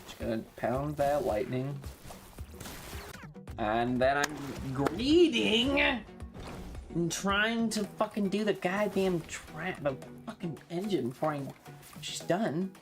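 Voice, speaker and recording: A woman's voice: low-pitched, slow pace, soft background music. A woman streaming a game and chatting casually in a sweary, offhand, conversational way. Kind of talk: casual livestream chat while gaming.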